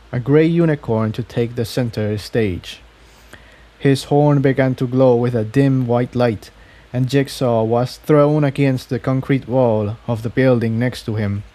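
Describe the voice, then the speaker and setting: A man's voice: medium pitch, measured pace, mellow. A man narrating a scripted story aloud, occasionally stumbling and repeating phrases as he reads. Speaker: fan fiction reader.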